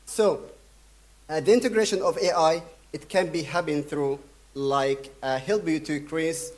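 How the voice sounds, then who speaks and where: Young male keynote speaker from Sudan, slightly high voice, hesitant delivery full of fillers. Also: steady tone. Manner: halting, many fillers and restarts. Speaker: conference speaker. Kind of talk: conference keynote talk.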